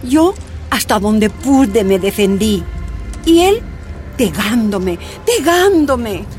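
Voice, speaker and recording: A woman's voice: on the high side, very animated, slow, a little mellow, faint music behind. An actress playing a tortured woman activist, recounting her imprisonment in anguished, emphatic first-person narration.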